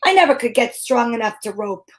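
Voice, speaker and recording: A woman acting as a wisecracking lady detective in an old-time radio mystery. Her voice sits high. She is breezy and wry, tossing off quips as she tells her case. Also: big pitch swings, brisk. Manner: playful, wry, quipping.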